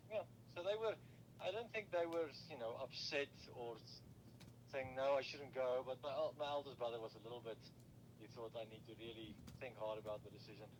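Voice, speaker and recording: A man from South Africa with a South African accent and Afrikaans as his first language, answering interview questions thoughtfully with many pauses and fillers. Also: medium pitch, background noise.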